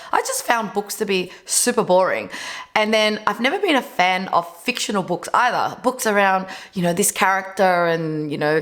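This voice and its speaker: A woman's voice: medium pitch, fairly bright tone. A woman in her thirties who grew up in Vietnam and learned English as a second language, talking to camera in an earnest, chatty way as a business owner and channel host. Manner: earnest, chatty, many fillers. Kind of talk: casual to-camera talk on books.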